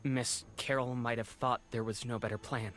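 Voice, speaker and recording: A man's voice: mid-range voice, steady tone, normal speed, fairly bright tone, faint music behind, close to an everyday voice. A man voicing a dutiful guard giving formal, matter-of-fact reports in a video game. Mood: grave, formal.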